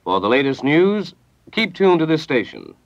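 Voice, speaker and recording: A man reporting news on 1940s radio in a measured, formal manner. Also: on the high side, very animated, gravelly, dry recording. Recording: dull old radio recording.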